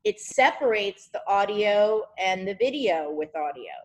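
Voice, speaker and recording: A woman's voice: medium pitch, lively intonation, a little slow, rough recording. A woman hosting a podcast over a video call, talking casually in a rambling, encouraging way and often searching for words. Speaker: podcast host.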